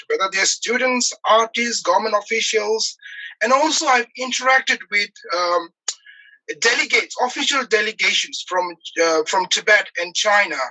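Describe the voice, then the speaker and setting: A man in his forties from Nepal, speaking as an interview guest over a video call, earnest and halting with many pauses and fillers. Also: high-pitched, pushed and bright, poor audio. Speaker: guest, activist. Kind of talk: earnest personal account in an interview.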